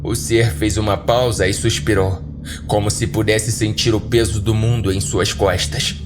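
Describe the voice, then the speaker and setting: A Brazilian man tells a scripted horror story in the first person. His voice sits on the low side and his delivery is dramatic and deliberate, with music playing clearly underneath. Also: level tone. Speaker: horror podcast narrator. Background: background music.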